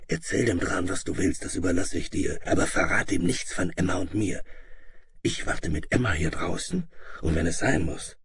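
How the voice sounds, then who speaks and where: A man voicing a calm, friendly engine driver in a storybook, hushed, confiding and decisive. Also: sits low, normal speed, slight background noise. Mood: conspiratorial, reassuring.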